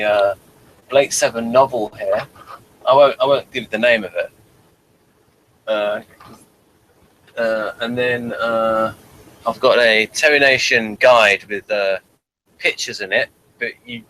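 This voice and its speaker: A man chatting casually as co-host of a review show, amused and halting in his delivery. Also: fairly low pitch, a bit bright, slight background noise, poor audio. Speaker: podcast co-host reviewing TV episodes. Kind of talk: casual chat.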